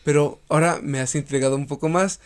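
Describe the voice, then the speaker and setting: A man hosting an interview, greeting the audience and asking questions in a relaxed conversational way with fillers. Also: a little high, fast, clean tone. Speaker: interview host. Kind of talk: casual interview.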